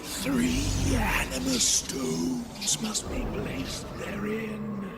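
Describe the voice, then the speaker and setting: An actor voicing an otherworldly undead arena herald in a dark fantasy game, theatrical and declaiming. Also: medium pitch, steady tone, very slow, heavy background noise. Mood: ominous. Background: loud music.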